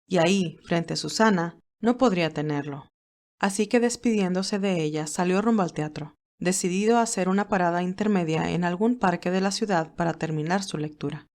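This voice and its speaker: Female narrator, slightly low register, scripted fiction reading. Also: a little mellow.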